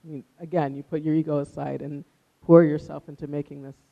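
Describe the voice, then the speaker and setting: Gravelly, higher-pitched man, muffled recording. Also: little pitch movement, a little fast. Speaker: musician and music teacher, interview guest. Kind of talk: reflective, conversational interview answers.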